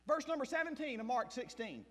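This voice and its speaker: A man from South Mississippi with a Southern accent, preaching a sermon and telling his own story as a missionary pastor, shifting between loud bursts and hushed asides. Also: very high voice, very animated, fairly quick. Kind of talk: sermon with personal testimony. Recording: long hall reverb.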